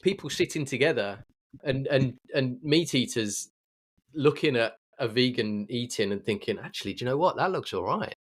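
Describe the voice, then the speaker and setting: Casting: male podcast host, mid-pitched, agreeable and casually conversational. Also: lively intonation, moderate pace. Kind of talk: casual interview chat.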